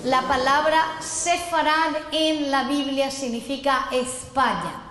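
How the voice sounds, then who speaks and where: A woman's voice: high-pitched, slow, sharp tone. A middle-aged woman from Chile giving an earnest sermon lesson, reading scripture and asking listeners to repeat after her. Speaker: messianic apostle teaching. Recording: echoey hall, roomy long reverb.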